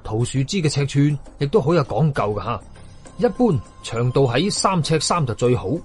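A man's voice: medium pitch, big pitch swings, normal speed, soft background music, lightly acted. A man with a Hong Kong Cantonese accent voicing a stern, learned Taoist exorcist in a horror audio story, instructive and commanding. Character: stern, learned male exorcist, senior Taoist apprentice brother.

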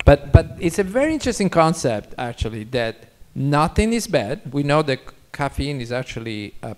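Older male medical panelist, Italian accent, mid-range pitch, informal Q&A delivery on stage. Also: creaky, a little mellow. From Italy. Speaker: doctor on an expert panel. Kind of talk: informal expert Q&A. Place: auditorium stage. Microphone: handheld microphone.

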